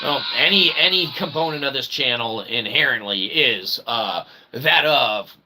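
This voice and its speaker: A man delivering a rambling comic monologue as a channel host, mock-pompous and wordy, with a theatrical delivery and a gravelly voice. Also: mid-range voice, animated, a little slow, bright, forceful tone, soft background music, poor audio. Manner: mock-pompous, wordy, repeatedly ending phrases with "okay". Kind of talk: rambling philosophical comedy monologue.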